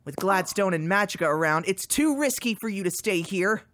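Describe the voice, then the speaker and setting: A woman's voice: mid-range voice, expressive, normal speed, fairly bright tone, no echo, close to an everyday voice. Woman playing a bossy, swooping young duck vampire. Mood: stern. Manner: dramatic, commanding.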